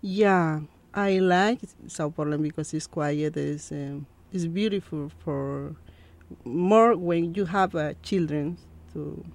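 A woman from Peru in her thirties with a Peruvian Spanish accent, a guest in an interview, speaking halting English with many fillers. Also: sits low, a little mellow. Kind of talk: interview conversation.

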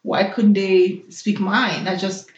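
A woman's voice: medium pitch, fairly even intonation, slow, echoey room, dull audio. A young woman from Haiti whose first language is Haitian Creole, speaking as a nurse and interview guest, thoughtful and hesitant, with many fillers. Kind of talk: personal interview.

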